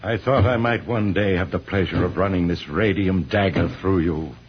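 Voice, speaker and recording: A man's voice: sits low, normal speed, close to an everyday voice. A man acting a menacing, vengeful villain in an old-time radio serial. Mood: bitter, threatening. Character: menacing defeated villain, plotting revenge. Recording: muffled, hissy old radio transcription.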